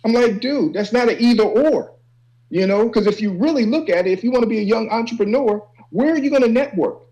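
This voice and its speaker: Male college counselor, high voice, earnest panel-guest talk, conversational with frequent verbal tags. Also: expressive, quick pace, dark tone, muffled recording. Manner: earnest, conversational, repeats "you know". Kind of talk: informal panel discussion.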